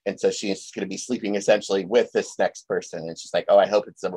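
A low-voiced man with a gravelly edge hosts a reflective conversation. He speaks gently and thoughtfully, often pausing as he retells a story. The sound is dry and close. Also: steady tone, no echo. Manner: gentle, thoughtful, tells stories. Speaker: podcast host. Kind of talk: reflective interview chat.

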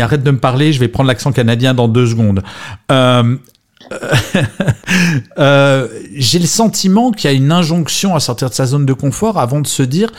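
A man's voice: mid-range voice, a little mellow. A man with a European French accent hosting an informal discussion, chatty and playful, with emphatic phrasing. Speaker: host, moderator. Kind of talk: informal panel moderation.

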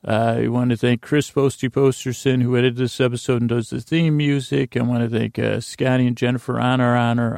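A man's voice: medium pitch, a little mellow, dry recording, studio quality. A man hosting a sleep podcast, rambling and meandering and often cutting himself off mid-thought. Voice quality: gravelly, creaky voice. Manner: meandering, self-interrupting, drifts in pace. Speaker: podcast host. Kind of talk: rambling bedtime podcast talk.

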